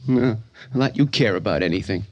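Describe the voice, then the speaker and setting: An actor playing a grief-stricken, bitter young son in radio drama, with anguished, stammering outbursts. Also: male voice, lowish voice, expressive, moderate pace. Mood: sullen, unsure. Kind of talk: old-time radio drama acting.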